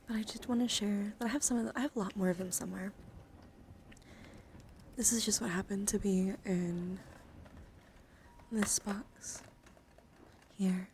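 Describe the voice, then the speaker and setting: Softly whispering woman, noisy ASMR livestream. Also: medium pitch, fairly even intonation, unhurried, pauses often. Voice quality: soft, breathy, often whispered. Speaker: livestreamer and VTuber host. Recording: clearly noisy ambient background.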